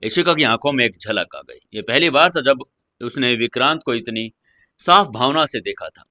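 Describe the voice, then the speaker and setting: Male narrator, dramatic scripted storytelling, bright forceful tone, close dry mic. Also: mid-range voice, animated, moderate pace, no echo.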